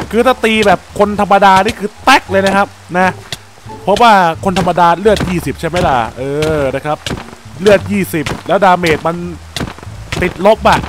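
A young man with a high, bright voice chats excitedly through a game session, throwing out exclamations and jokes to his viewers. Soft game music plays faintly under his desk-mic voice. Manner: excitable, exclaims often, jokey. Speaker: Minecraft gameplay YouTuber. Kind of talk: playful gameplay commentary.

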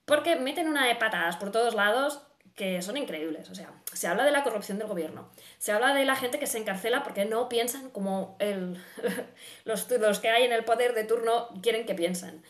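A woman's voice: on the high side, normal speed. A woman in her thirties with a Spain Spanish accent, giving a casual, effusive book review and repeating words for emphasis. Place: small room at home, indoors. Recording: roomy, echoing home recording.

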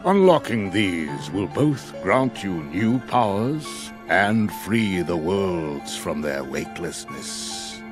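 Stern aged wizard master for a video game: very deep, unhurried, solemn delivery. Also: male voice, very animated, over music, exaggerated voice. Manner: solemn, deliberate, formal. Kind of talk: scripted video game voice acting.

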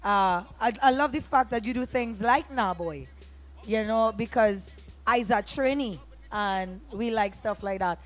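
Young trini lady hosting, kinda high voice, gushing and eager, handheld mic. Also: faint music behind. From Trinidad and Tobago. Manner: enthusiastic and admiring, quick affirmations. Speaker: host. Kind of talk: casual interview chat. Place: small lounge set with a bar.

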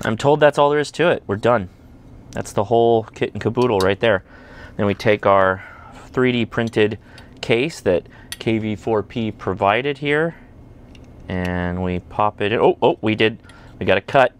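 A man's voice: fairly low pitch, measured pace, clean tone. An American man in his forties hosting a hands-on tech video in a conversational, enthusiastic, instructional way. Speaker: host of a ham radio video channel. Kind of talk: casual instructional product demo to camera. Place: indoor home studio and workbench.

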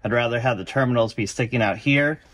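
A man in his thirties hosting a car restoration video, calmly and methodically explaining his work in a large indoor garage. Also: mid-range voice, fast talker. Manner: calm, methodical, matter-of-fact. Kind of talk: explanatory workshop walkthrough.

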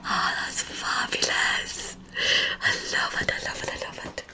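A woman's voice: unhurried, bright, forceful tone, rough recording. A woman whispers playfully and casually to live viewers, close to the mic, often repeating phrases. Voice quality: breathy whisper. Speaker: ASMR live streamer. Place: small home room, indoors. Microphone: large microphone right in front of mouth.

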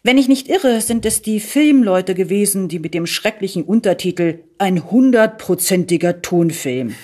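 A woman reading a satirical essay aloud in an expressive, deliberate and emphatic way with dry irony. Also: mid-range voice. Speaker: reader of a literary text.

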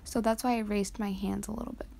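Soft-spoken teen girl with gravelly fry. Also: mid-range voice, steady tone, quick pace. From Canada. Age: teens. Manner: soft, hesitant with frequent ums. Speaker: vlogger. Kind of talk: voice-over commentary on riding footage.

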